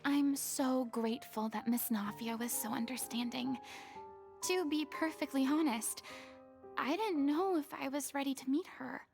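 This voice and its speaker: An actress playing a remorseful, guarded young woman in a video game, speaking formally and earnestly with a breathy edge. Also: high-pitched, a little slow, a little mellow. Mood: anxious, confessional. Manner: earnest, formal, hesitant confessional delivery. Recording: game dialogue over faint music.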